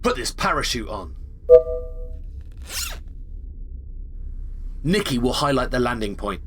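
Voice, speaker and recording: An actor playing a brisk, cocky soldier comrade who gives matey orders in a video game parody drama. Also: male voice, medium pitch, sing-song, noisy background, close to an everyday voice. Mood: brisk, commanding.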